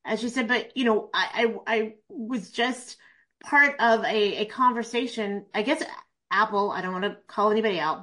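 A woman's voice: a little high, pushed and bright. A woman in her forties joining a panel discussion by video call, speaking emphatically and persuasively.

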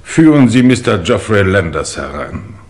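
A police chief inspector in a vintage radio mystery. The voice is low, polite and dryly matter-of-fact, composed in its delivery. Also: male voice, very animated, moderate pace. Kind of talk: 1950s German radio crime play acting.

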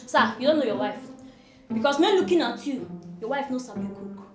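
Lady playing a boastful nigerian housemaid, super animated comedy voice, echoey room. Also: on the high side, brisk, lightly acted. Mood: cheeky, boastful. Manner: cheeky, boastful, theatrical. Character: cheeky, boastful female house help, quick to scold. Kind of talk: broad comedy skit acting. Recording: echoey room with music underneath.

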